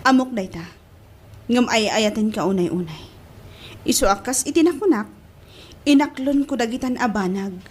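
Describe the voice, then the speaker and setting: High-voiced lovestruck young woman played by an actress. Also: lively intonation, normal speed, long pauses, slightly rough. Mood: tender, devoted. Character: lovestruck young woman, defensive with friends, devoted to her lover. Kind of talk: Ilocano radio-style drama acting.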